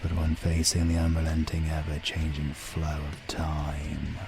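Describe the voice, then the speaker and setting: A man's voice: very deep voice, completely flat delivery, a little slow, gravelly, over music, heavily acted. A British man voicing an all-seeing, mysterious keeper of time in an audio roleplay, speaking in a grave, formal and portentous manner.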